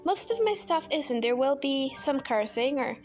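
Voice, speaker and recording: High-voiced Guyanese woman, chatty art vlog. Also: expressive, moderate pace, a little mellow, soft background music. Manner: chatty, self-deprecating, rambling asides. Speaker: art channel host. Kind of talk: casual chat while drawing. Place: indoors at a desk. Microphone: overhead camera on hands.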